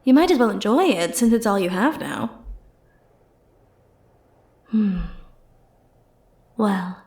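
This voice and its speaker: A woman playing a powerful, flirty and possessive sorceress in an ASMR roleplay, speaking softly and intimately with theatrical, teasing, condescending turns. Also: mid-range voice, expressive, slow, exaggerated voice. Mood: persuasive, wistful. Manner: soft, intimate, teasing, theatrical delivery with breathy murmured asides.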